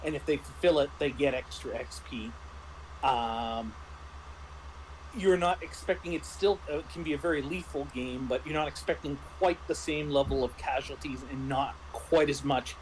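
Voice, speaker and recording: A man's voice: mid-range voice, normal speed, a little hiss or hum, low-quality recording. A man in a casual discussion, explaining like a game master and often rephrasing himself mid-thought.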